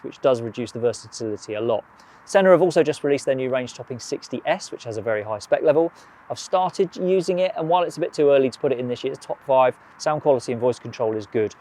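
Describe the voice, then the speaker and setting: A British man presenting a product review in scripted narration, with a measured, clearly structured delivery. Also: medium pitch, darkish tone.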